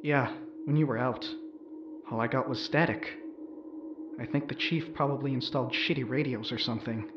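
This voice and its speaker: An actor playing an anxious, loyal young rebel crew member in a sci-fi audio drama. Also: male voice, mid-range voice, normal speed, reverberant, muffled recording, background noise, close to an everyday voice. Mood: sceptical.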